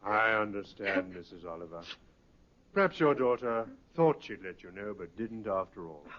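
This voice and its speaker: Clipped police inspector, lively pitch swings. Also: male voice, moderate pace. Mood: polite, businesslike. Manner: clipped, polite, questioning. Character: courteous, businesslike male police inspector. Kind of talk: 1950s radio drama acting. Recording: muffled old radio recording.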